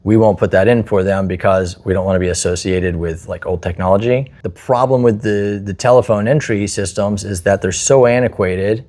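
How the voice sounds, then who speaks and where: Male host, low voice, casual explanatory talk, opinionated, works through examples. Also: normal speed, few pauses, darkish tone. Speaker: host, security installer.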